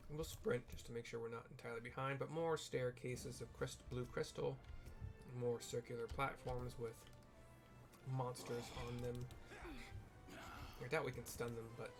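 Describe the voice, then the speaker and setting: A man giving casual, explanatory game commentary, describing the scene in a halting way with trailing asides. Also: mid-range voice, fairly even intonation, over music. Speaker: gamer narrating a raid playthrough.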